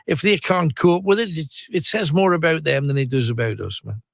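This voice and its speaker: Reassuring male host, fairly high. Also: a little fast, creaky, no room sound. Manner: reassuring, friendly banter. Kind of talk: casual radio chat.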